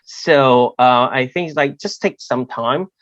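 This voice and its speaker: A man's voice: mid-range voice, takes it slowly, no room sound, dull audio. A man from Hong Kong with a Cantonese accent, speaking as a panel guest, hesitant and full of fillers and restarts. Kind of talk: livestream panel discussion.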